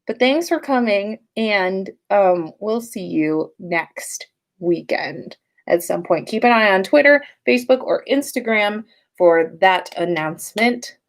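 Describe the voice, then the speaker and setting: Woman doing a casual story livestream, medium pitch, playful n expressive, kinda giggly manner. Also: measured pace. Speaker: podcast host and story reader.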